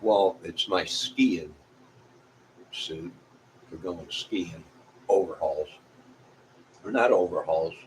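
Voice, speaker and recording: Low-voiced Midwestern man over background noise. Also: takes it slowly, long pauses, low-quality recording. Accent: American Midwestern. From Illinois, United States. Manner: folksy, meandering. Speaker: vlogger. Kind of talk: rambling casual chat to viewers.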